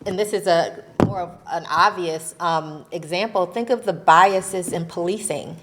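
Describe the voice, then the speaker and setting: A woman advocate speaking on a convention panel, animated and laughing often, ending her points with "right?". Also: on the low side, a little slow, background noise. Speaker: faith-based advocacy panelist. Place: convention session room.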